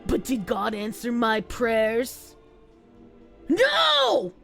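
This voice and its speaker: A woman voicing an obsessed, self-pitying man in a theatrical, anguished dramatic reading that breaks into shouting. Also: fairly high pitch, huge pitch swings, very slow, gravelly, faint music behind, exaggerated voice. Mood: hateful, desperate. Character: ranting, self-pitying, obsessed man blaming everyone, melodramatic villain. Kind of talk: dramatic reading of social media posts.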